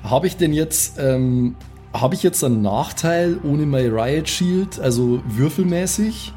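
A man's voice: fairly high pitch, animated, measured pace, dark tone, faint music behind. A man chatting casually as a player in a tabletop role-playing game, talking through moves and rules. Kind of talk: casual improvised game chat, rules talk.

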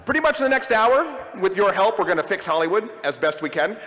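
Male panel host, high and bright, live on stage in a reverberant hall. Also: expressive, fast. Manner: theatrical speech-giving opener. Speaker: podcast host.

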